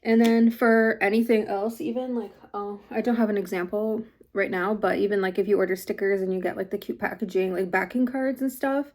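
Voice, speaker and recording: A woman's voice: mid-range voice, fairly even intonation, a little fast, few pauses, darkish tone. A sniffly woman with a mild cold talks loosely to camera about her small craft shop. She rambles, filling gaps with likes and ums, in an easygoing vlog chat from her home studio. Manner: rambling, filler-heavy, many likes and ums. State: slight head cold, sniffling. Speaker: small craft business owner.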